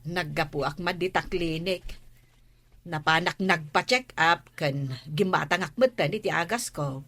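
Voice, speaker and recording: An actress with an Ilocano accent playing a bossy, complaining village wife in a radio comedy drama. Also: female voice, lowish voice, unhurried, a bit bright.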